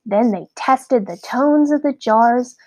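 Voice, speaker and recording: Slow, high-voiced woman reading a children's story. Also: mellow, rough recording. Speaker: read-aloud storyteller. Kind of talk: scripted children's story narration.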